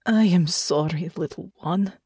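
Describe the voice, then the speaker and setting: A woman narrator playing a haunted foreign war veteran, a woman who speaks in halting, clipped phrases with tenderness underneath. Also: on the low side, dark tone, slightly rough, no echo, clean studio sound, heavily acted. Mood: weary. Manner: weary, halting, clipped phrasing with dropped articles. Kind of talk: audiobook character acting.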